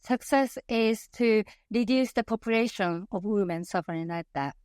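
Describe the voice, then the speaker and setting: A woman's voice: medium pitch, normal speed, a little mellow, smooth, dry recording, studio quality. A woman in her fifties from Japan with a Japanese accent, a passionate interview guest who often laughs and corrects herself mid-sentence. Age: 50s. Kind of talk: conversational interview.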